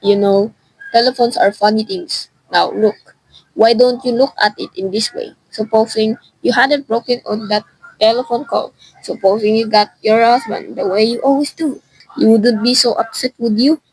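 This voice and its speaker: A teenage girl playing an unhurried, dismissive police desk sergeant in a radio suspense drama, offhand and patronizing. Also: a little high, fairly even intonation, a little mellow, slightly rough, dry recording, rough recording. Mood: placating.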